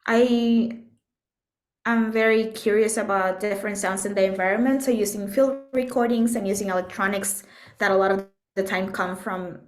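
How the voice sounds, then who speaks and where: A woman from Puerto Rico speaking as an interview guest, reflective and thoughtful, often pausing to search for words. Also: medium pitch, fairly even intonation. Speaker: interview guest, composer and musician. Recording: echoey room sound.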